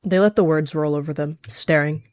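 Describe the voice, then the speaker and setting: A woman's voice: sits low, fairly even intonation, brisk, darkish tone, dry recording, clean studio sound. A woman narrating an improvised roleplay story in the third person, as one of the players. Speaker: roleplayer narrating and voicing cat characters.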